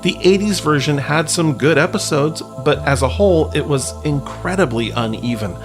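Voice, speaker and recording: A man's voice: a little high, normal speed, over music. A man hosting a podcast in a casual, wry, self-deprecating and informal way. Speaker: podcast host. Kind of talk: casual podcast talk with read-out news items.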